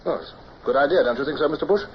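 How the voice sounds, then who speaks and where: A man's voice: on the high side, natural voice. Man playing a reserved naval officer, muffled recording. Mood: brisk, courteous. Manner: clipped, formal, measured. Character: reserved, dutiful, guarded young naval officer. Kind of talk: period radio drama acting with narration. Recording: dull old radio recording with hiss.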